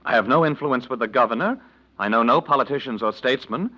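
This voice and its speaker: An actor playing a witty, penniless lodger with florid, mocking speech in a 1940s radio drama. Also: male voice, mid-range voice, fast talker, lightly acted. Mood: puzzled, suspicious. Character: witty, penniless male lodger, grandiloquent and sardonic. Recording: dull vintage radio recording.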